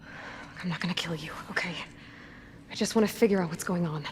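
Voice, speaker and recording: An actress playing a determined, guarded young woman explorer in a video game, plain-spoken and wry, with a fairly low voice. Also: brisk, slight background noise, close to an everyday voice. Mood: guarded, calm.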